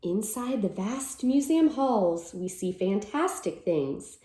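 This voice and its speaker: Female storytime teacher, mellow tone, very animated pitch, simple explanatory style for kids, roomy echo. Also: takes it slowly. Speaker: teacher reading a picture book aloud to children.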